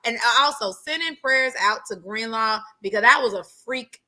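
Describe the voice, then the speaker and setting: A woman's voice: on the high side, normal speed, bright, forceful tone, low-quality recording. A woman co-hosting a sports talk show, making her points with emphatic repetition in casual chat. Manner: emphatic, repeats points for stress.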